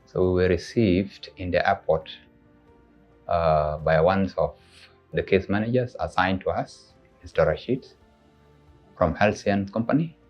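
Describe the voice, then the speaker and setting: Deep, slow South Sudanese man. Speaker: patient's husband giving a testimonial. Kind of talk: testimonial. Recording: dull audio under background music.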